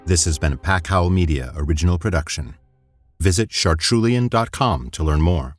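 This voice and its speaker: A man reading the closing credits of an audio drama in a steady, formal way. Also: sits low, moderate pace, no echo, clean studio sound. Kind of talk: scripted credits narration. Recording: theme music underneath.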